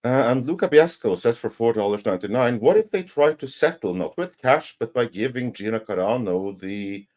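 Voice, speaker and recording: A man hosting a casual livestream panel, reading viewer messages aloud haltingly and adding brief comments. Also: medium pitch, lively intonation, darkish tone.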